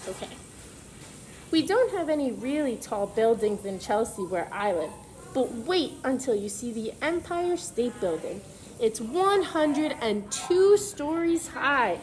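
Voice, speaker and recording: A woman preschool teacher reading a picture book aloud to young children, pausing to ask them questions in an instructive way. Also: high voice, low-quality recording.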